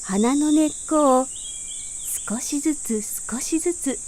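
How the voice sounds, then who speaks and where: A woman storyteller reads a children's fairy tale. Her voice sits very high, and she delivers the narration as a scripted picture story for small listeners. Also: takes it slowly, a little mellow, slight background noise.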